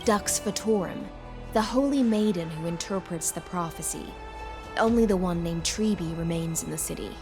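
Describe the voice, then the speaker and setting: An actress playing a composed, proud knight commander in a game, speaking in a formal, measured, courtly way. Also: female voice, lowish voice, music in the background, lightly acted. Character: composed proud female knight commander, loyal imperial servant. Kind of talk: scripted game dialogue.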